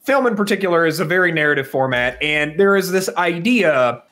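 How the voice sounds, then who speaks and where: A man's voice: fairly high pitch, lively intonation, fairly quick, bright, forceful tone. A man co-hosting a podcast, explaining his points in a casual way and checking in with "right?" as he goes. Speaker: podcast co-host. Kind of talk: casual chat.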